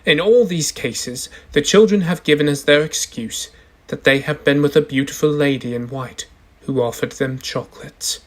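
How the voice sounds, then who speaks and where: A man's voice: fairly high pitch, moderate pace, close to an everyday voice. A man playing a lawyer who formally and dramatically presents evidence in a radio drama. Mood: grave, dramatic. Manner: formal, declamatory. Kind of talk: stage radio drama narration.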